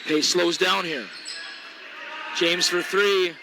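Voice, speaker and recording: High gravelly-voiced man calling basketball. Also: slow pace. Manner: energetic, reads sponsor ads. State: voice weakening. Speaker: play-by-play announcer. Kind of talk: local sports commentary. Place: basketball gym. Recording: noisy gym broadcast. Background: gym ambience, bouncing balls.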